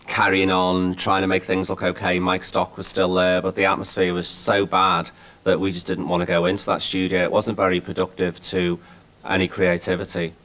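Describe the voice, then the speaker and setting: A man's voice: low-pitched, completely flat delivery, moderate pace, a bit bright. A man, a band member, speaking frankly and bluntly in a documentary interview.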